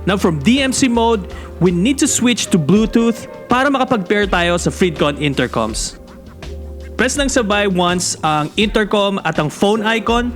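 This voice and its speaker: A Filipino man with a rather high voice talks casually, like a vlogger walking viewers through gear. His accent is Filipino and music plays clearly under his speech. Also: expressive, normal speed, fairly bright tone. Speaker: motorcycle vlogger and host. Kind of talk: casual bilingual tutorial talk.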